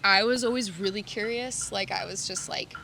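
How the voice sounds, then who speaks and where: A young woman from Serbia, whose first language is Serbian, chatting as a podcast guest and telling stories in a rambling, animated way with many fillers. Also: mid-range voice, moderate pace, gravelly, soft background music. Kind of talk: casual storytelling chat.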